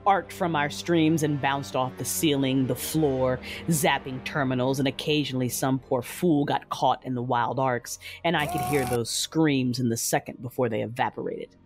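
A woman's voice: extremely low pitch, fairly even intonation. Woman acting a cold, vengeful Sith mother over music. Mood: cold fury. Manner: measured, solemn, menacing. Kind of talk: dramatic first-person scripted narration.

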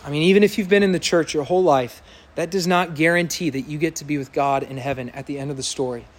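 Loud preacher guy, kinda high voice, earnest and emphatic, really builds up. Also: quick pace. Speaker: pastor. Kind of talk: sermon. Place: church sanctuary with congregation.

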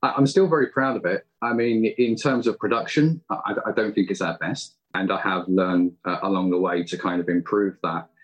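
Deep, husky-voiced man chatting. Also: normal speed, hardly any pauses, muffled recording. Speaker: podcast creator being interviewed. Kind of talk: casual conversational interview.

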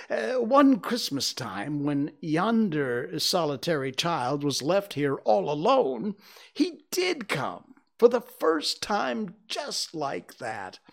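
Man acting out an old storybook gentleman, high-pitched, emotional, exclamatory, stammering, sentimental. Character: old man reliving childhood, wildly excited and tearful. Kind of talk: dramatized storybook reading.

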